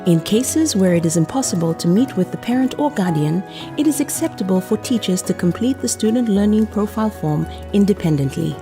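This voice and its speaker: A woman reading a scripted instructional narration for a training film in a measured, explanatory way. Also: mid-range voice, lively intonation. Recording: music behind the voice. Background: background music.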